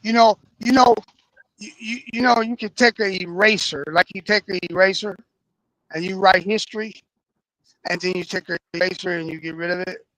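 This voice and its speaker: A man from East Oakland, chatting as a morning show co-host, animated and restarting his sentences. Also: high-pitched, normal speed, no room sound, low-quality recording. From East Oakland, California. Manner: animated, stammering restarts, agreeing often. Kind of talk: casual talk show chat.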